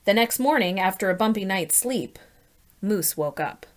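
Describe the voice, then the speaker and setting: A woman reading a children's story aloud as a teacher, in an animated, deliberate way, putting questions to her listeners. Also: mid-range voice.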